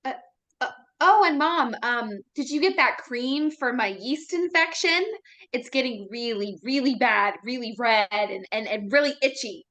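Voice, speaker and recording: A woman plays a brash young woman with a high voice. She is foul-mouthed, sarcastic and exaggerated, in a horror film script read aloud. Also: measured pace, fairly bright tone. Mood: flustered.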